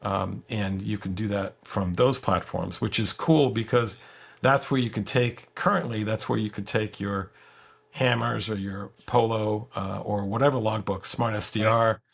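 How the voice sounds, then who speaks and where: A man with a gravelly, husky voice giving a casual software walkthrough as the guest, halting and repeating words as he explains. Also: fairly low pitch, fairly even intonation. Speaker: software creator giving a product demo as guest. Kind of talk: casual screen-share walkthrough.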